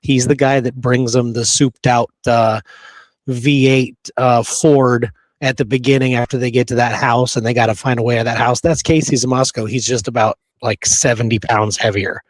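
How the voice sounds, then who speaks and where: A man co-hosting a show in casual, excited, slangy conversation. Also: mid-range voice, moderate pace, no room sound. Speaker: show co-host.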